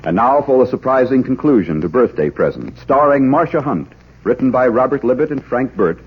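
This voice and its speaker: A man announcing a 1940s radio show in a suspenseful, deliberate, theatrical style. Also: mid-range voice, lively intonation, a little hiss or hum. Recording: muffled old radio transcription. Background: dramatic music.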